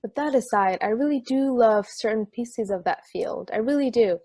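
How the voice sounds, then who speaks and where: A man's voice: extremely high pitch, moderate pace, a little mellow, dry recording, clean studio sound. A man acting as an easygoing football fan who relishes weirdness, in a conversational script reading. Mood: enthusiastic, wry. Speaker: amateur actor in a remote table read.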